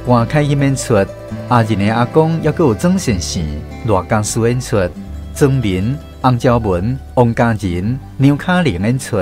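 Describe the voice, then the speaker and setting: A man's voice: medium pitch, lively intonation, normal speed, a little mellow. A man hosting a radio programme, reading out a scripted introduction as its announcer. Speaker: radio show host and announcer. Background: instrumental music.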